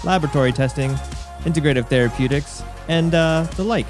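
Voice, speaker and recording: An upbeat man co-hosts a health podcast in casual, friendly chat. He talks fast, and faint music sits behind his voice. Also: on the high side. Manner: upbeat, friendly, many fillers. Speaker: podcast co-host.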